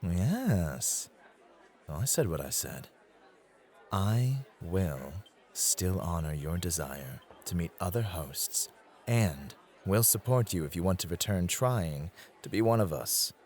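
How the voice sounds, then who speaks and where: A suave club host acted by a man speaks low and very unhurriedly, with pitch that moves around a lot. He is flirtatious and possessive, teasing and intimate, in the style of an ASMR roleplay. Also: sing-song, darkish tone, a faint crowd, heavily acted. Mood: devoted, possessive. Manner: teasing, flirtatious, intimate address with drawn-out phrasing.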